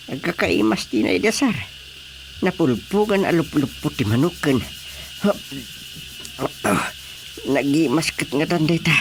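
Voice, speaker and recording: An excitable comic glutton in an Ilocano radio drama. The mid-pitched voice stammers and gets flustered. Clear noise sits behind it. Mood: eager. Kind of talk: Filipino radio drama comedy acting. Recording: noisy radio drama mix.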